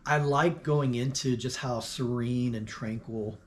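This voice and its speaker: A man's voice: mid-range voice, fairly even intonation, measured pace, slight background noise. A man co-hosting a casual album discussion in an informal, conversational way. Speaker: music review show co-host. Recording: music clearly playing behind the voice.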